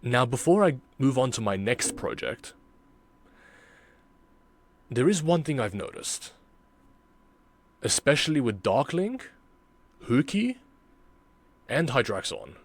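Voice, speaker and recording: A man's voice: mid-range voice, very animated, slow, pauses often. A man giving casual, reflective and self-critical commentary as a video creator and amateur voice actor reacting to his work.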